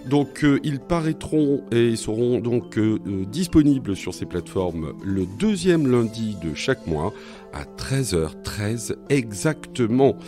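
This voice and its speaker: A man's voice: mid-range voice, animated, takes it slowly, music in the background. A man hosting a podcast, speaking in a semi-formal, courteous way as he addresses guests and listeners. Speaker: podcast host.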